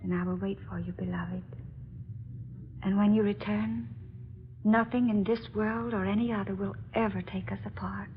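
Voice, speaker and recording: Actress as melodramatic princess, muffled old radio. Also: female voice, mid-range voice, fairly even intonation, moderate pace, lightly acted. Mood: tender. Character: devoted, romantic young princess, gracious and ardent. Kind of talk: old-time radio drama acting. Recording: dull, noisy old radio recording.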